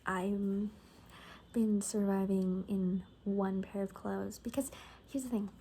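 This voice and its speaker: A woman doing a soft-spoken ASMR roleplay as a sassy, gossipy apocalypse survivor, chatting casually and sarcastically and often dropping to a whisper. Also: medium pitch, steady tone, slow pace, a little hiss or hum.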